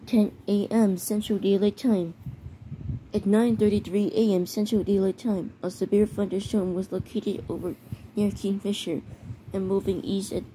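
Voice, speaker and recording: A woman with a soft-edged, dark and mellow voice reads out emergency-style announcements. Her delivery is halting and she trips over words. It is a homemade recording with some faint noise behind her. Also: medium pitch, low-quality recording. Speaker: narrator of a homemade emergency alert scenario. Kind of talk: halting read-aloud of mock emergency bulletins and weather warnings.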